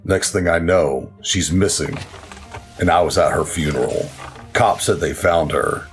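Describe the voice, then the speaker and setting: An actor playing a somber, guilt-ridden older brother and military veteran in a gritty crime audio drama. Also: male voice, sits low, unhurried, soft background music. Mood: bitter, regretful.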